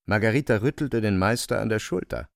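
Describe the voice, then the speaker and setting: Male narrator for literary radio drama: low register, creaky edge, animated delivery, dry studio sound. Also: a little fast, dry recording.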